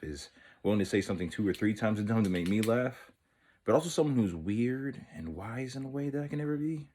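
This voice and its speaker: A man playing an earnest, lovestruck thirteen-year-old boy in an intimate, halting, wistful dramatic monologue. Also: low voice, darkish tone, slightly rough. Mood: tender, earnest.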